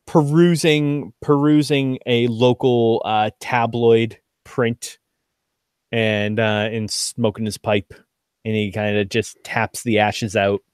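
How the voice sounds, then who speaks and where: A man acting as game master, narrating a tabletop game in a casual, halting way with self-deprecating asides. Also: mid-range voice, flat delivery, smooth, no room sound, studio quality.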